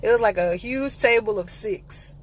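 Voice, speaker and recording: Animated young woman telling a story. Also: on the high side, normal speed, rough recording. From eastern North Carolina. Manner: animated, playful storyteller with sassy asides. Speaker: vlogger. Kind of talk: casual storytime vlog.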